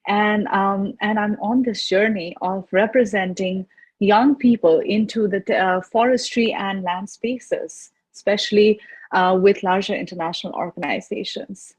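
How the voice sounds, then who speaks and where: Female, 20s, Nepali accent, mid pitch, slightly husky; earnest youth speaker presenting on a video call. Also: steady tone, a little slow, low-quality recording. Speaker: youth representative and panel speaker. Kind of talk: personal presentation to an online audience.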